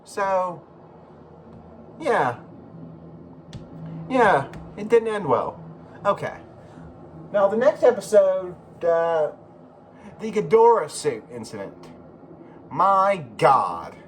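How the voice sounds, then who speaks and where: A man with a fairly high voice hosts a casual video. His pitch moves around a lot, while the halting, rambling delivery stays very slow. He talks informally and swears freely. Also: very animated, a little hiss or hum. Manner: profane, informal. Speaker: YouTube host.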